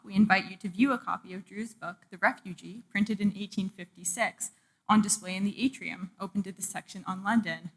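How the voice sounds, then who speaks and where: A woman's voice: medium pitch, poor audio. A woman hosting a public event reads a scripted, formal introduction of the speakers. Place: public talk in a museum event room. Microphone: event microphone.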